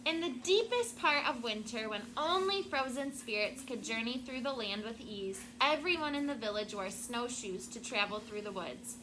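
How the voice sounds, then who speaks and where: A woman teacher reading a storybook aloud to children in a classroom, with expressive, deliberate phrasing and prompting questions. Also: fairly high pitch. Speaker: elementary school teacher. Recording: very noisy classroom recording.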